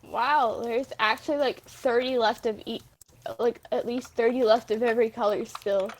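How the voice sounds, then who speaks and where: A woman's voice: fairly high pitch, steady tone, normal speed, close to an everyday voice. A young woman casually giving a tour of a game world, explaining things in a matter-of-fact way. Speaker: player showing others around a game world. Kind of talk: casual gaming chat, acted in a dramatic reading. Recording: noisy room with mouse clicks.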